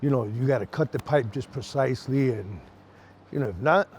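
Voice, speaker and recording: Casting: American man, everyday mid-pitched voice, colloquial explainer in casual street chat, light outdoor noise. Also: brisk, a little mellow. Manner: colloquial, explanatory, peppered with "you know". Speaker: maintenance worker, interviewee. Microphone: clip-on lapel.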